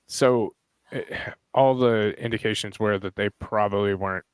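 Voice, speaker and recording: Hoarse man chatting, dry close mic. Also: fairly low pitch, steady tone, a little slow, dry recording. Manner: rambling, searching for names. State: hoarse, lost voice. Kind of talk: casual online chat.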